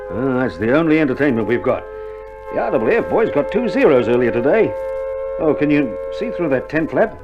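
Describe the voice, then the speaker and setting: A man's voice: medium pitch, big pitch swings, brisk, music in the background, lightly acted. An actor playing a wry, reassuring Australian army male nurse in a wartime radio drama, with laconic, joking banter, heard on an old vinyl record. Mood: chatty, wry. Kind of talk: 1960s radio drama acting. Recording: old vinyl recording, dull band, faint noise.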